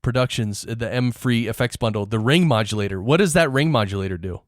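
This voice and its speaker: A man talks like a podcast host in casual conversation. His delivery is informal and a bit rambling, at a middling pitch. The sound is close and dry, with no room around it. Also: fast, dry recording. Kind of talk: casual interview chat.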